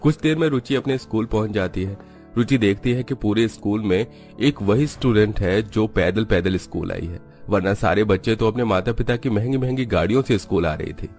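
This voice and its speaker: A man's voice: fairly low pitch, fairly quick, a little mellow. A man reading scripted narration for a children's moral story. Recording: music behind the voice.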